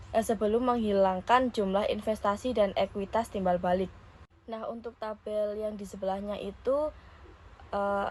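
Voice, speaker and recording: A young woman presents like a student explaining coursework. Her voice sits at a middling pitch and she reads things out in measured steps. There is noticeable noise in the recording. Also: a little mellow, low-quality recording. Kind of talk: explanatory presentation, partly read from notes. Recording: clear background room noise.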